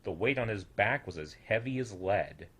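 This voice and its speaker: A young man reading a rhyming children's story as an expressive, theatrical storyteller with a sing-song rhythm. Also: on the low side, moderate pace, slightly rough. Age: young adult.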